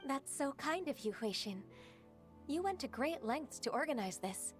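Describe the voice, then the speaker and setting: A woman playing a gentle, modest secretary and mentor in a video game, courteous, reassuring and slightly formal. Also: sits high, big pitch swings, normal speed, faint music behind. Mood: gracious. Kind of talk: dubbed game dialogue acting.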